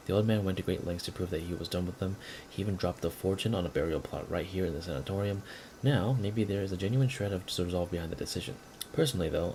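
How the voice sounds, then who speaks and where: A man's voice: deep voice, darkish tone, a little hiss or hum, rough recording. A man voicing a wry, grumbling sanatorium patient's letter in a video game, conversational and emphatic.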